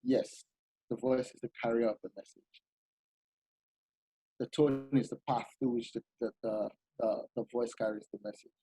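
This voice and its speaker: A man leads a masterclass in an animated, explanatory way. His voice is husky and slightly breathy, and the sound is dry and close. He often stops and restarts his sentences. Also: mid-range voice, moderate pace, pauses often, no room sound, rough recording. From Nigeria. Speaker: masterclass speaker. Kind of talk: informal talk at a masterclass.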